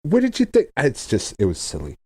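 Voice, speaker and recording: A man's voice: medium pitch, huge pitch swings, a little fast, warm tone, husky, no echo. A man co-hosting a podcast, chatting casually in an emphatic, exclamatory way with loose, sweary language. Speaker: podcast co-host. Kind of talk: casual chat about films.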